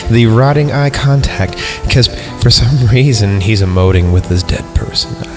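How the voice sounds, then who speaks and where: A fairly low-voiced man reads a creepy story for laughs, breaking off into dry, sarcastic commentary. Music plays clearly under his voice throughout. He drops in mocking remarks between the lines of the story. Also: moderate pace. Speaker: podcast host. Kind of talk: comedic reading of a horror story with commentary.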